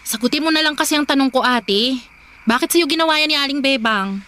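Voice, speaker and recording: A woman's voice: high-pitched, fairly quick, bright, forceful tone. A woman playing a worried, reproachful younger sister in a Filipino radio drama, pleading and scolding. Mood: concerned, insistent.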